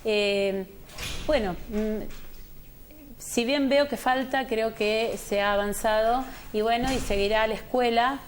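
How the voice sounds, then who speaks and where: A woman's voice: a little high, takes it slowly, a little hiss or hum. Thoughtful woman on a panel. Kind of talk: panel discussion.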